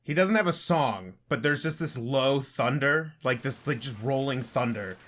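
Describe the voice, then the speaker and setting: A man's voice: mid-range voice, animated, normal speed, a bit bright. A man playing a tabletop game, eagerly describing his character's entrance. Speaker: player. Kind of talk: casual tabletop play.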